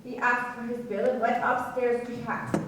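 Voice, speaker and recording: Radio play casting: respectable hotel madame female actor, high voice, prim manner, distant roomy recording. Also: flat delivery, normal speed, close to an everyday voice. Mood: prim, helpful. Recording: echoey room, distant mic, faint noise.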